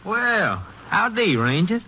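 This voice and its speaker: A man's voice: high voice, big pitch swings, slow, background noise, heavily acted. An actor playing a nervous, folksy cowhand who stammers through his protests in an old radio western. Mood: folksy, proud. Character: nervous cowhand, chatty ranch worker protesting innocence. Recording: dull old radio drama recording.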